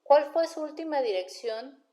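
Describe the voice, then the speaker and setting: Woman reading as a stern, sarcastic male detective, mellow-toned. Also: sits high, expressive, unhurried, voice pushed up. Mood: patient, icily sarcastic. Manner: formal, insistent, coolly sarcastic questioning. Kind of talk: audiobook character dialogue.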